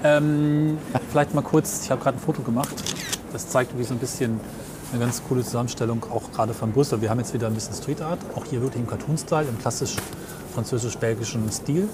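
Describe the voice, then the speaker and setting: A man's voice: mid-range voice, steady tone, fast talker. A man chatting casually as a podcast co-host while sightseeing on foot, easygoing and positive about what he sees. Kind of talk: casual chat and sightseeing commentary on the move. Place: outdoors on city streets, walking. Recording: clear outdoor city and traffic noise. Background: street ambience, vehicles.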